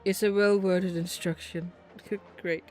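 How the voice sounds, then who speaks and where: High-voiced man streaming a game. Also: level tone, slow pace, a little mellow. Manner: rambling, incredulous, bantering with viewers. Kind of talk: casual live gameplay commentary. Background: faint game music.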